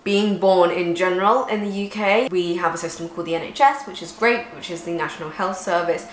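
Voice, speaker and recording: A woman's voice: on the low side, a little fast. A woman from England, chatting casually and explaining things at length as a vlog co-host. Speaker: vlogger co-host. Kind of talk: casual chat. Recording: echoey room with faint ambience.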